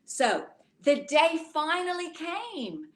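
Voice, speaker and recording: Slow-paced woman reading a bedtime story. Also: extremely high pitch, roomy sound. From Louisiana. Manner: expressive, addresses young listeners directly. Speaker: children's author reading aloud. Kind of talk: bedtime story read aloud to children.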